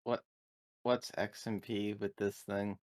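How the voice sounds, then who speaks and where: A man with a fairly low voice talks as a player in a tabletop roleplaying session. His delivery is casual, like chat among people at a game. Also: level tone, slow, a little mellow.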